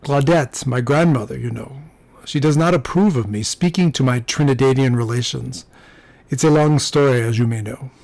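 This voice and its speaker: A man's voice: mid-range voice, moderate pace, gravelly, darkish tone, no echo, lightly acted. A man voicing a nervous, polite Frenchman in his twenties with a French accent and hesitant, formal phrasing, in an audiobook. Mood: warm, earnest.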